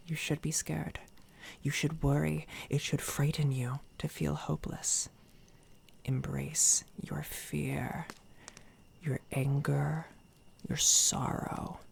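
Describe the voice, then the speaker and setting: A woman voicing a sinister, megalomaniac evil doppelganger in a soft-spoken ASMR roleplay, breathy and often whispering, with deliberate, grandiose, condescending delivery. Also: low-pitched, full character voice. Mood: menacing, probing, then fervent, coaxing. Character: sinister female evil doppelganger, coldly megalomaniac would-be ruler. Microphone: close binaural microphone.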